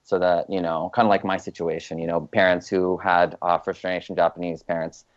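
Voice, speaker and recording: A man's voice: sits low, fairly quick. A young man from Los Angeles, an actor being interviewed, talking casually with lots of ums and you-knows. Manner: relaxed, rambling with many fillers. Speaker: guest actor. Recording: dull lo-fi sound.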